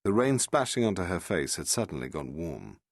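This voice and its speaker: A man's voice: deep voice, big pitch swings, moderate pace, gravelly, no echo, clean studio sound. A man reading an audiobook in a dramatic, suspenseful storytelling style. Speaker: audiobook narrator. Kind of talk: dramatic scripted narration.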